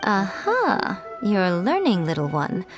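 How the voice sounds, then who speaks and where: A woman's voice: mid-range voice, wildly swooping pitch, slow pace. A woman voicing a sly, teasing, self-assured fox-spirit familiar in a video game, with haughty wit. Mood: sly, composed. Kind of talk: video game character acting. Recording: music playing under the voice.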